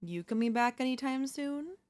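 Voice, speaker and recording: A woman playing a teasing, possessive young woman in an ASMR roleplay, with a smug, coy, drawn-out delivery. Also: sits high, expressive, darkish tone, pitched above the performer's own voice. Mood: smug, chatty. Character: teasing, possessive young woman, former childhood bully next door.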